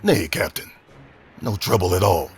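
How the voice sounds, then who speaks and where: A man playing a gruff, obedient sailor in an audio drama. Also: deep voice, lively intonation, normal speed, full character voice. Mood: dutiful.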